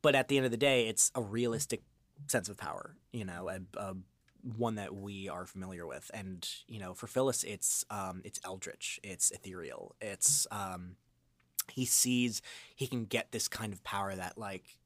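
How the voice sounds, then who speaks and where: A man chatting as an interview guest, expressive and thinking aloud in winding explanations about his craft. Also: on the low side, moderate pace, no room sound. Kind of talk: animated conversational interview about acting.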